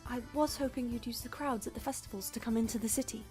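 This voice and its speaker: A woman's voice: fairly high pitch, quick pace, darkish tone, faint music behind, close to an everyday voice. A woman playing an earnest, discreet young nun working as an informant in a radio play. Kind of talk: radio play acting, fan production.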